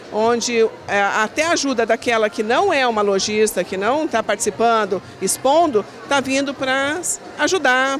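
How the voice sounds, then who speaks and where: A middle-aged woman talking into a handheld mic in an indoor event hall, a business committee coordinator speaking earnestly in a listing, repetitive way with small restarts. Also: a little high. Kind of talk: event interview. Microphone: handheld interview mic.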